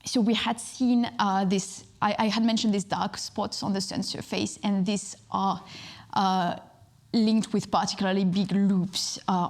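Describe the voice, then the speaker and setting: A young woman from southern France, a space physics researcher, giving a public science talk with many fillers and restarts. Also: mid-range voice.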